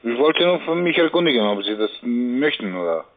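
Fast-talking salesman on tinny phone. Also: mid-range voice, sing-song, crowd noise. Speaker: telephone sales caller. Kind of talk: sales cold call. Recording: tinny, narrow phone line.

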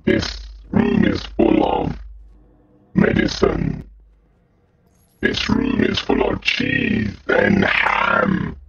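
A man voicing a slow, guttural demon who calmly bosses others about while commentating on a video game, through a deep voice filter. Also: exaggerated voice. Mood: calm, musing. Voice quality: guttural, growling, gravelly. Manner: slow, drawn-out, deliberate short phrases. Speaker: gaming channel host. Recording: muffled lo-fi audio, faint noise.

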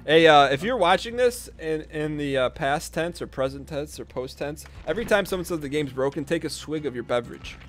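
A man's voice: fairly high pitch, lively intonation, brisk, hardly any pauses, fairly bright tone, faint music behind. A man gaming with friends and recording it, talking in a casual, playful chat style. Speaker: gaming video creator playing with friends.

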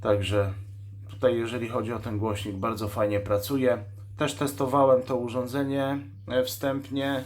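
Male host, mid pitch, plain technical demo delivery with small pauses. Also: a little mellow. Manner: matter-of-fact, technical, listing specs; pauses mid-sentence while handling the device. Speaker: radio shop host reviewing a walkie-talkie. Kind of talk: unscripted product demonstration.

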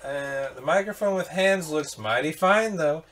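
Man, American, animated and bright-voiced; casual, joking, sweary game-stream banter. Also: a little high, measured pace, a little hiss or hum. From United States.

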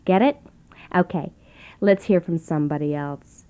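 A woman's voice: on the low side, normal speed, smooth, narrow, tinny audio, close to an everyday voice. Woman acting a glib self-help seminar leader. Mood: brisk, patronizing. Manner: glib, slangy, instructing. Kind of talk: satirical radio comedy acting.

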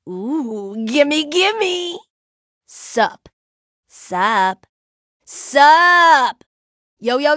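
A woman's voice: sits high, sharp tone, no room sound, studio quality, heavily acted. A woman voicing a brash, playful, laid-back young female gem warrior in a cartoon video game, with a cheeky, slangy, exclamatory delivery. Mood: playful, casual. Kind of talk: cartoon video game voice acting, short barks.

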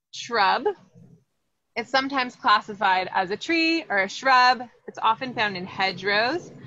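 A woman's voice: a little high, creaky, bright, forceful tone, slight background noise. A woman in her thirties from Los Angeles with an American accent, running an upbeat online plant lesson and asking her audience questions. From Los Angeles, California. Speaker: plant educator. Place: greenhouse, indoors. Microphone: video call, laptop or webcam. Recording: slightly dull video call audio.

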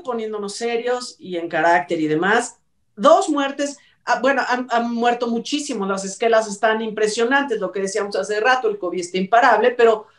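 A middle-aged Mexican woman hosting a conversational entertainment-news talk show over a video call. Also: a little high. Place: indoor room, on a video call. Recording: roomy, echoey video-call sound.

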